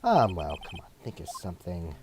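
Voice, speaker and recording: A man's voice: sits low, huge pitch swings, moderate pace, darkish tone, slight background noise. A man voicing an earnest young male defense lawyer who presses and accuses, in an amateur fan dub. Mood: worried.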